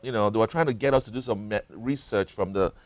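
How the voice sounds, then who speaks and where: A man hosting an interview show, asking questions in a relaxed, affirming way and often adding short reactions. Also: on the low side, fast. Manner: warm-hearted, affirming, interjects "wow" often. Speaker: TV show host.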